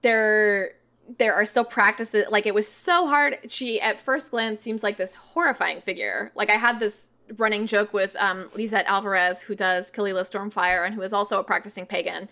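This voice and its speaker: Bright-voiced thirty-year-old woman chatting informally. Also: medium pitch, normal speed. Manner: informal, animated explaining with frequent "like". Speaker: podcast guest, writer and podcaster. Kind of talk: casual interview chat.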